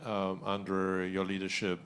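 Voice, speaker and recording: Lower-voiced man moderating, hesitant, many restarts. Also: monotone, a bit bright, slight background noise. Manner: halting, many uhs and restarts. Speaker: panel moderator. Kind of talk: moderating a panel. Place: conference session.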